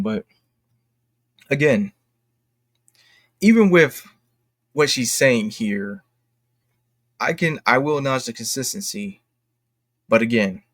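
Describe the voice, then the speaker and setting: A man hosting an online commentary video, speaking off the cuff from notes in a halting, self-correcting way. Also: medium pitch, no echo, studio quality. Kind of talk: unscripted opinion commentary from notes.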